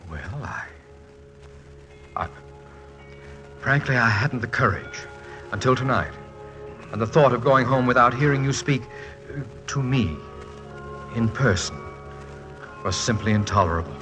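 An actor playing a shy, bookish professor, formal and wordy, in 1940s radio style. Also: male voice, medium pitch, expressive, measured pace, pauses often, over music, natural voice. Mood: shy, earnest. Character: courteous, shy, bookish American male professor. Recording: vintage 1940s radio recording.

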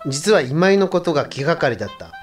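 A man's voice: a little high, big pitch swings, fast talker. An actor playing a sensitive young man in a Japanese radio drama, with casual boyish speech, over faint music. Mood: reflective. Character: sensitive young man among old friends, narrating his worries. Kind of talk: Japanese radio drama acting with inner monologue.

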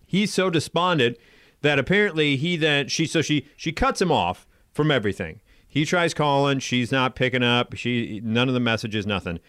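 Smooth-voiced man bantering on a show. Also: medium pitch, lively intonation, normal speed, a bit bright, no room sound, clean studio sound. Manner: jokey, retells stories with mock voices. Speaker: co-host. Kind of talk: casual banter about odd news.

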